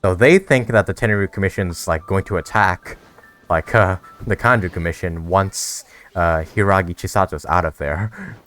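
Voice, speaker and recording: A man's voice: low-pitched, moderate pace, fairly bright tone. A man giving casual let's-play commentary as he reacts to a game and reads out choices. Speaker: gaming streamer. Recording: game music behind the voice.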